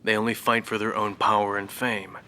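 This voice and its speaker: An actor playing a middle-aged former fighter ace, now a professor, who speaks in a measured, brooding, reflective way in a game cutscene. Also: male voice, lowish voice, little pitch movement, pushed and bright, slight background noise. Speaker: former fighter pilot interviewed.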